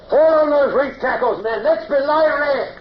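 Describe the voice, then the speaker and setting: An actor playing a tyrannical, suspicious British naval captain, imperious and sarcastic, in a mid-century radio drama. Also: male voice, very high voice, moderate pace. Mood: barking orders. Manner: sardonic, imperious, swinging from murmurs to bellowed orders. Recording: dull, hissy old radio recording.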